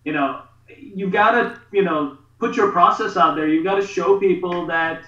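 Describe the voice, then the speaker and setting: A man's voice: sits high, moderate pace, pushed and bright. A man in his thirties from Pakistan, talking earnestly with many fillers as a guest on a video call. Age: 30s. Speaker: guest, artist promoting a campaign. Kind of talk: casual video call interview. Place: home studio room. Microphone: webcam on a video call. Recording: roomy, dull video call audio.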